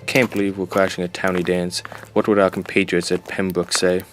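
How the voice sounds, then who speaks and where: Brief: man as a deep-voiced sardonic snob. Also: level tone, fairly quick, over music, close to an everyday voice. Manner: drawling, sardonic, sighing. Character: snobbish rich teen boy, twin brother. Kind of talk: fan audio drama.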